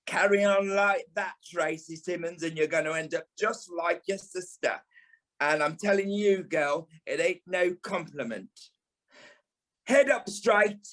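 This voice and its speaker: A flamboyant old stage dame with a British accent, acted by a man. The voice is high and unhurried, drawling its endearments. The delivery is theatrical, bossy and bluntly tactless, as in an audio play. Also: a bit bright. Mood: bossy, scolding. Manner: theatrical, tactless, drawling, calls people darling and lovey. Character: flamboyant, blunt older former dancer and ballet teacher, a dame.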